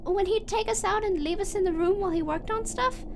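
A woman playing an innocent, hopeful little skeleton boy in an animated comic dub, earnest, trusting and childlike. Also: very high voice, a little fast, a little hiss or hum. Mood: wistful. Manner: childlike, earnest, wide-eyed.

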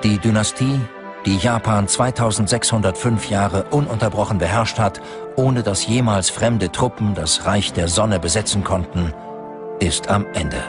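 A man's voice: lowish voice, moderate pace. A man reading scripted documentary narration as the narrator. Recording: loud music under the voice. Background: music.

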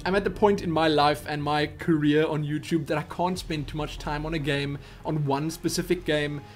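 Give me a young South African man chatting animatedly to his webcam, a bit high-voiced. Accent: South African English. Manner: animated, chatty, theatrical asides. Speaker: YouTube gaming host. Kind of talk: casual Q&A vlog. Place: small home room with posters.

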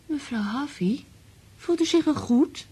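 An actress in a radio drama playing a respectful, caring young female assistant with a soft, husky voice. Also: high voice, expressive, unhurried. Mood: concerned.

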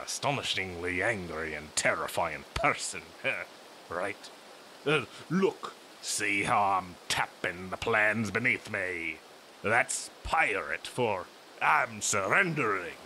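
A man's voice: on the low side, expressive, slow pace, sharp tone, a little hiss or hum, rough recording. A man playing a cocky, flirtatious pirate captain with a swaggering, laughing manner. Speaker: audio drama voice actor. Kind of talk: audio roleplay performance.

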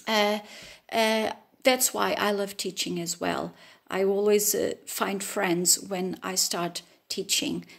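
A woman in her fifties from Portugal, speaking English with a Portuguese accent and introducing herself as a language teacher, earnest and pausing often with fillers. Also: mid-range voice, steady tone, gravelly. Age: 50s. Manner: earnest, hesitant with frequent fillers. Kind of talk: self-introduction video. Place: room with wooden ceiling, indoors.

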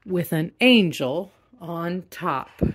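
A woman reading a children's picture book aloud in an expressive way, stressing key words. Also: fairly low pitch, extremely slow, breathy edge. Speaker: storybook reader.

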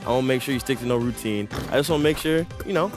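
Young male comic sidekick for a basketball game, voiced by a man: medium pitch, goofy, playful, clingy, rambling slang. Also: very fast, over music, natural voice. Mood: playful, pestering. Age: young adult. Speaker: rookie teammate. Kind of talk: comedic video game cutscene acting.

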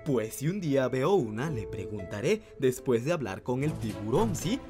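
A man's voice: medium pitch, very animated, moderate pace, music in the background, lightly acted. A man voicing an anxious, overprotective clownfish father in an amateur cartoon dub, fussy and nervous. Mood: cautious. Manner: fussy, nervous, stammering when flustered. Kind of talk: amateur animated film dub.

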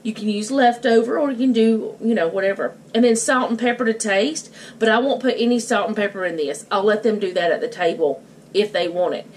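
A woman's voice: mid-range voice, brisk. Middle-aged woman chatting over background noise. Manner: informal, rambling, thinking aloud. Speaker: home cook and vlogger. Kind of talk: casual cook-along chat, narrating her own steps. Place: home kitchen, indoors. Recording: clear background noise, home camera mic.

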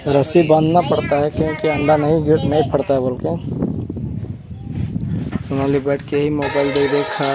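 A vlogger narrating casually and plainly to viewers, repeating points while explaining. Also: mid-range voice. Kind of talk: casual vlog narration, talking to viewers and a child. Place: large open-sided empty poultry shed. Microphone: handheld phone camera, operator behind it. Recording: noisy phone recording in open farm shed.

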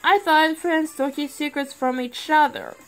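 A woman's voice: high voice, unhurried. A woman voicing a suspicious young cartoon wolf boy in an amateur comic dub, blunt, slangy and challenging. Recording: background music under the voice.

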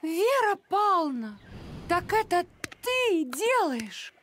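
A woman playing a firm, brisk mother in a children's cartoon, expressive and assertive. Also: very high-pitched, heavy background noise, exaggerated voice. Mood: amazed.

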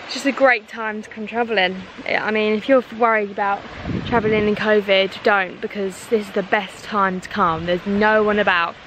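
A woman's voice: mid-range voice, steady tone, moderate pace, background noise. A woman in her twenties with a British accent, chatting casually to a handheld camera as a travel vlogger. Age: 20s. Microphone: camera at arm's length.

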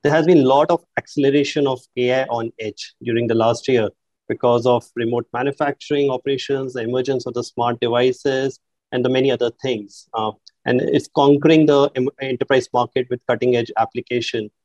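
A man's voice: mid-range voice, fairly even intonation, a little fast, darkish tone, no echo. A man moderating a panel discussion on a video call, asking polite follow-up questions and handing over to speakers. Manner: polite, hedging follow-ups. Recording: dry, dull video-call audio.

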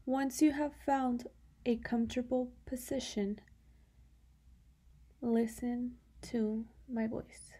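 Very slow, breathy, soft-voiced woman. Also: a little high. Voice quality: soft, breathy, often dropping to a whisper. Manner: calm, unhurried instruction with long pauses. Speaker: guided meditation instructor. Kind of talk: slow guided meditation.

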